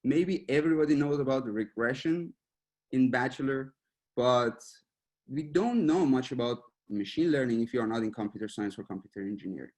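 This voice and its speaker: Iranian-born man giving a relaxed webinar talk. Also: medium pitch, normal speed. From Iran. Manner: relaxed, searching for words, with small laughs. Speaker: machine learning researcher giving a webinar.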